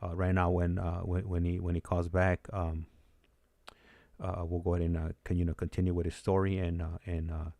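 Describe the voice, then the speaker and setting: A male host with a low-pitched voice that barely moves in tone. He speaks off the cuff to a caller and live viewers, often stumbling and repeating himself. The sound is close and dry. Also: monotone, mellow, dry recording. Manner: halting, repetitive, stumbling delivery. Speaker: host of a live call-in show. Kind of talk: casual, unscripted talk to a caller and live chat viewers.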